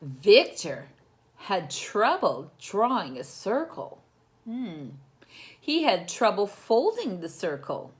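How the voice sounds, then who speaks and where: A woman's voice: mid-range voice, big pitch swings, slow pace. A woman teacher doing a storytime read-aloud, talking encouragingly and directly to young children and asking them questions.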